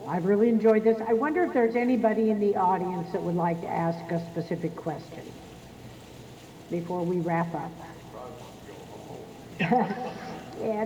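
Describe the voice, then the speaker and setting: Gracious Southern Indiana moderator, muffled hall. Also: female voice, mid-range voice. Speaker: event moderator. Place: banquet hall. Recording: dull sound in a reverberant hall.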